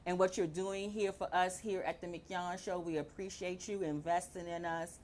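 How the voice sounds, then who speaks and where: A woman in her forties hosting a radio talk show, telling lively stories in casual chat and laughing often. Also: lowish voice, normal speed, slight background noise. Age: 40s. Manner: animated storyteller, laughs often. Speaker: show host. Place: radio studio.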